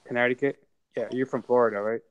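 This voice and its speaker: A man's voice: medium pitch, steady tone, fast talker, smooth, dry recording, lo-fi sound. A man chatting casually in an online group hangout, asking lots of questions. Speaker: regular hangout participant.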